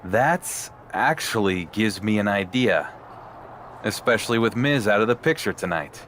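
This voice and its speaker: A man's voice: fairly low pitch, expressive, unhurried, fairly bright tone. A man playing a determined wrestling champion in a game cutscene, talking with dry, wry sarcasm over slight background noise. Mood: dry, scheming. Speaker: wrestler character. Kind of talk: conversational video game cutscene.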